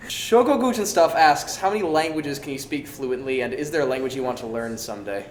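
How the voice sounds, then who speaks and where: A man's voice: medium pitch, expressive, a little fast. Guy doing a casual jokey q&a with friends, roomy echo, bit of noise. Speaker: actor answering fan questions. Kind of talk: casual group Q&A chat.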